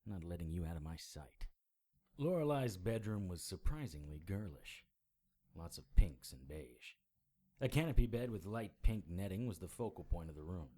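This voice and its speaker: An actor playing a hard-boiled, sardonic occult investigator in a noir audio drama, blunt and world-weary. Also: male voice, low voice, lively intonation, normal speed, mellow. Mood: sarcastic, wary, then wry narration.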